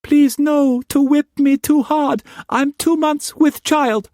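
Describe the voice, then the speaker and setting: A woman's voice: sits high, steady tone, takes it slowly, a little mellow, no room sound, studio quality, pitched above the performer's own voice. A man voicing a frightened, pregnant young woman who begs in broken pidgin English. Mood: pleading, tearful.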